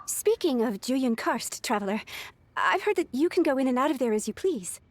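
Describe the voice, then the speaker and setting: A woman's voice: high-pitched, sing-song, normal speed, no room sound. A woman playing a polite, earnest, worried young female secretary in a video game. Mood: earnest, concerned.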